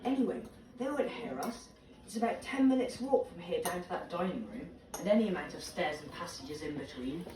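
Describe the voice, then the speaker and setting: A man's voice: sits high, expressive, brisk, a little mellow, a little hiss or hum. A man acting a confident, adventurous schoolboy in a radio theater drama. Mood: breezy confidence. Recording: distant, echoey playback with clattering background.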